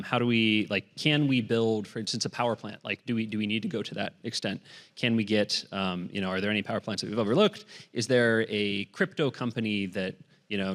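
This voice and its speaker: A man's voice: fairly low pitch, a bit bright. A man on a live panel answering an audience question, casual and thinking aloud with "like" and "you know". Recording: hall with long reverb.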